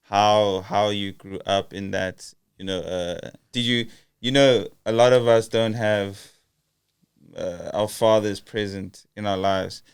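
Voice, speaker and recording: A man's voice: on the low side, steady tone, slow, clear, resonant tone, no echo, clean studio sound. A young man hosting a casual podcast interview, hesitant with many fillers and restarts. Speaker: podcast host.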